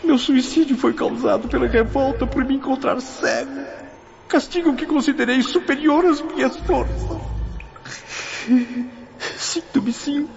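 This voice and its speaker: A blind, wounded suicide in torment. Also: male voice, extremely high pitch, steady tone, measured pace, vocal fry, long pauses, music in the background, heavily acted. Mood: anguished. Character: blind wounded man after his suicide, terrified and desperate. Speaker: actor in radio drama. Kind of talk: radio drama acting. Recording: dull recording with loud noise behind.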